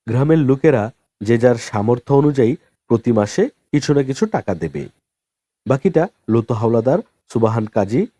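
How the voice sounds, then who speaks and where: A man narrating a novel as an audiobook in a measured storytelling manner. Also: mid-range voice, warm tone, dry recording.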